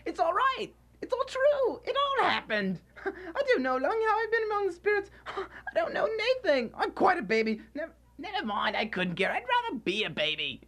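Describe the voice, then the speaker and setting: Woman doing giddy old reformed miser, radio drama, voice leaping all over, repeats stuff excitedly. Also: very high-pitched, normal speed, heavily acted. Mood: overjoyed, giddy. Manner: exclamatory, repeating phrases excitedly. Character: old male miser reborn, giddy, jubilant, generous.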